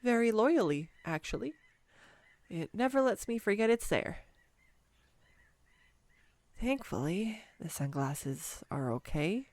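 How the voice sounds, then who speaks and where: A woman's voice: medium pitch, animated, slow pace, long pauses, a little mellow, no room sound. A woman playing a clumsy, nervous young woman on a first date in an audio roleplay, rambling and self-deprecating. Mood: embarrassed, sheepish. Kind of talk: intimate audio roleplay monologue.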